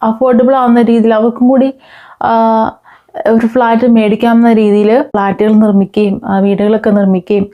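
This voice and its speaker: A woman with a Kerala accent speaks as an online commentary host, in a mellow, soft-edged voice at a medium pitch. Her delivery is informal and conversational, with hesitant pauses as she addresses her viewers. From Kerala, India.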